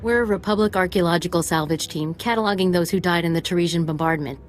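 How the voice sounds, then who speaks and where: An actress playing an earnest, pleading archaeological researcher in a video game. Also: female voice, on the low side, fast, a little hiss or hum, natural voice. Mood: pleading, grateful. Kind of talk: scripted game cutscene dialogue.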